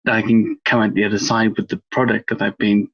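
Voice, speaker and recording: A man's voice: lowish voice, level tone, fast talker, fairly bright tone, highs cut off, slight background noise. A middle-aged man from Wales, an author answering interview questions in a rambling, enthusiastic way with lots of fillers. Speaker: cryptozoology author.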